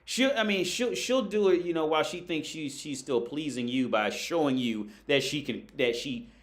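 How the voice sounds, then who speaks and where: A man's voice: a little high, normal speed. A man from the United States hosting a casual call-in livestream, talking loosely with lots of swearing and laughter. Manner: loose, profane, laughing, animated.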